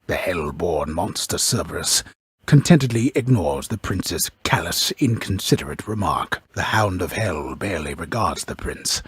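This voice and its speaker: Game narrator: a grave mythic storyteller, extremely low and very husky, pompous, wry, ornate delivery. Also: male voice, no room sound, clean studio sound, full character voice. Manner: solemn, deliberate, ornate, wryly deadpan. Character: grave, pompous old storyteller narrating Greek myth, wryly ominous. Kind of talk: scripted dramatic storybook narration.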